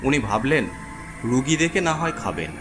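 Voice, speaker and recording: A man's voice: medium pitch, sing-song, moderate pace. A man narrating a scripted horror story in a dramatic storytelling style. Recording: music under the voice.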